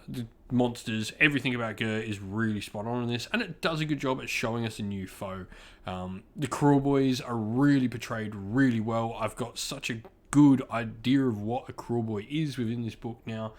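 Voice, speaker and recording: Man giving an enthusiastic, informal review. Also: mid-range voice. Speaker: book reviewer, channel host.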